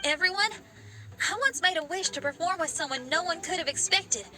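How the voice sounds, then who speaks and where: A woman's voice: very high-pitched, fairly quick. A woman playing a spirited, passionate young female rock musician in a video game. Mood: heartfelt, confident. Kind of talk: English video game voice acting.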